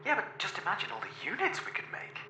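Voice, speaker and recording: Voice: mid-range voice, huge pitch swings, fast, bright, forceful tone, full character voice. Someone acting a snarky fussy robot, swoopy dramatic delivery, whiny complaints, echoey, sci-fi radio play. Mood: sarcastic ranting. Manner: theatrical, sarcastic, complaining. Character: sardonic, ranting, greedy, fussy robot crew member. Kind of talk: science fiction audio drama acting. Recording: roomy echo, faint noise.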